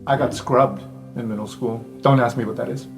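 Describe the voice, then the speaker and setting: A man's voice: mid-range voice, fairly even intonation, fairly quick, gravelly. A man playing a tabletop roleplaying game, bantering casually in and out of character. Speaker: player. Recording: guitar music under the voice.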